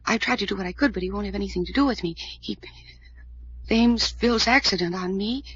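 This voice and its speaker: Troubled young heiress in muffled old radio drama. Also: female voice, mid-range voice, a little fast, a bit bright, breathy edge. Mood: worried, sad. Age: young adult. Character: troubled, anxious twenty-four-year-old heiress, earnest and resigned. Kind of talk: 1940s radio drama acting.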